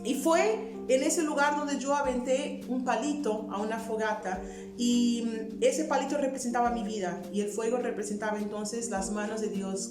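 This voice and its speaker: Woman in her twenties, from Portugal, conversational testimony, music playing behind. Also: fairly high pitch. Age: 20s. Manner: earnest, conversational, frequent rhetorical "verdad". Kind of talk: personal testimony to camera. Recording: roomy echo with music underneath.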